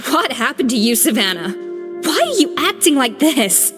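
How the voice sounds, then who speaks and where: An actress playing a calm, dignified former head chef who speaks in a composed, measured, firm way. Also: female voice, high-pitched, music in the background. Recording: reverb.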